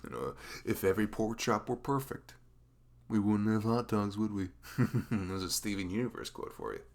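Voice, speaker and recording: A man acting a dorky, sentimental young best friend with a crush in an intimate ASMR roleplay, speaking haltingly in a rambling, self-conscious way with a gravelly creak. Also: on the low side, darkish tone. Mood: playfully apologetic. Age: young adult.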